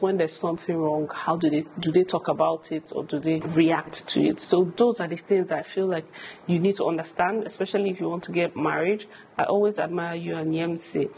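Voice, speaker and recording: A woman's voice: deep voice, a little mellow, slight background noise, poor audio. A woman in her thirties with a Nigerian accent, a vlogger giving candid, emphatic advice and repeating phrases for stress. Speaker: vlogger answering viewer questions. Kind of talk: candid advice talk to camera. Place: furnished home room, indoors.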